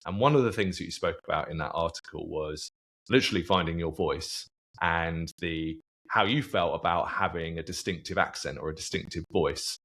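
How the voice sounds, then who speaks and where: A man hosting a podcast interview, asking relaxed, rambling questions. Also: sits low, moderate pace. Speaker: podcast host. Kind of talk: casual interview chat.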